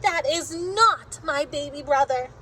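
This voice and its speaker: A woman voicing a skeptical little girl in a children's storybook, with emphatic, drawn-out delivery. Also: sits high, bright, forceful tone, a little hiss or hum. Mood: indignant, emphatic. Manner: emphatic, declarative, drawn-out objections. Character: skeptical, observant little girl inspecting her baby sibling.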